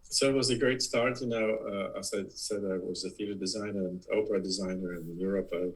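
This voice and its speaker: A man in his sixties from Poland with a Polish accent, talking as a guest in a casual interview, halting with many fillers and restarts. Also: deep voice, fairly even intonation, breathy edge, rough recording. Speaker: guest, production designer.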